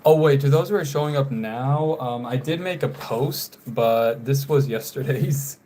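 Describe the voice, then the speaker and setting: Young male streamer from North Carolina, unhurried, laid-back chat, drifts quieter at the ends of phrases, apologetic. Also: medium pitch. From North Carolina, USA. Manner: slow, trailing off quietly, apologetic about names. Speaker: live streamer. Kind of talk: casual live stream chat while drawing.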